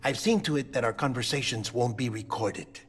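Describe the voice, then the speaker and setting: A man's voice: medium pitch, brisk, natural voice. A man playing a wry, confiding agent who reports his findings in a dry, conversational way in a game cutscene. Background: music.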